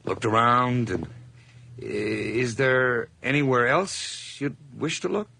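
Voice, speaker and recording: A man's voice: medium pitch, slow, gravelly, sharp tone, slight background noise, full character voice. An actor playing a nervous, overly eager hired man who stammers through forced cheer in a radio drama. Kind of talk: 1980s radio drama acting.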